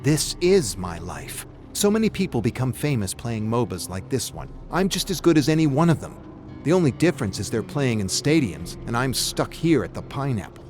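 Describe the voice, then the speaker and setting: A man's voice: medium pitch, very animated, moderate pace, a little mellow, soft background music, voice pushed up, close to an everyday voice. A man voicing a young woman gamer who is weary, driven and defensive. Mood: defiant.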